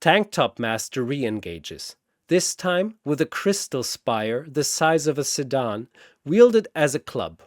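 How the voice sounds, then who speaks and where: A man's voice: mid-range voice, no room sound, clean studio sound. A man reading a scripted battle story as a dramatic narrator.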